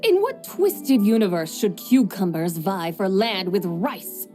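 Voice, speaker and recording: An actress voicing a proud ancient horse spirit who is a goddess of farming in a video game, speaking in a lofty, formal, scolding way. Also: female voice, mid-range voice, sing-song, unhurried. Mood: stern. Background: game soundtrack music.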